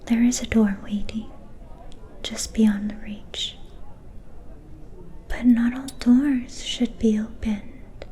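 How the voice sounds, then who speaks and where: A woman playing a mysterious, soft-spoken vampire seer in an ASMR fantasy roleplay, whispering in a breathy, ominous and cryptic way. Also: on the high side, fairly even intonation. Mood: ominous, coaxing. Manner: slow, deliberate, cryptic.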